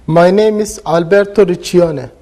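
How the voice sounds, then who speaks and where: A man's voice: high-pitched, measured pace, warm tone. A man from Italy introducing himself plainly as a student in a scripted language lesson.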